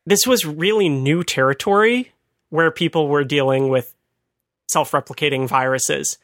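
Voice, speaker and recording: A man's voice: fairly high pitch, measured pace, fairly bright tone, no room sound. A man co-hosting a conversational talk, explaining things with dry amusement. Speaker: podcast host. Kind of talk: conversational retro computing commentary.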